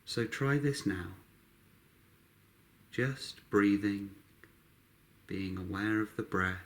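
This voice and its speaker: A man guiding a meditation, speaking calmly in short, unhurried phrases with long pauses between them. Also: on the low side. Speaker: meditation guide. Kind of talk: guided meditation narration.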